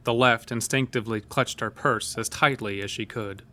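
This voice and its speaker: A man's voice: mid-range voice, fairly even intonation, moderate pace, pushed and bright. A man narrating a scripted horror story, with a mid-range voice.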